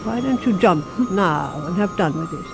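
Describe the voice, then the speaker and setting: An actress playing an obsessive, menacing housekeeper in a radio drama, sneering and insistently coaxing. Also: female voice, on the low side, animated, moderate pace, creaky, music in the background. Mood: coaxing menace. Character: obsessive, menacing housekeeper, devoted to her dead mistress.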